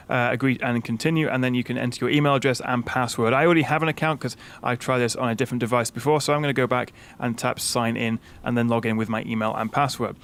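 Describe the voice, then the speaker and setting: A man's voice: mid-range voice, fairly bright tone. A young British man hosting a tech channel, talking through a product setup in a chatty way, with frequent "uh" fillers.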